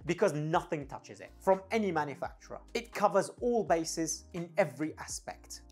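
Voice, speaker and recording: A man in his thirties giving a conversational, opinionated product review to camera, speaking emphatically into a clip-on mic. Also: on the high side. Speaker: audio gear reviewer. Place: dim home studio room, indoors. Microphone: clip-on lavalier mic on the shirt. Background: faint background music.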